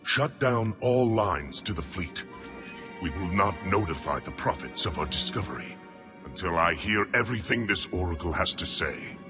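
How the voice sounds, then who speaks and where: A man voicing a solemn, commanding alien warrior leader in a video game cutscene, over a loud score. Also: sits low, expressive, moderate pace, over music.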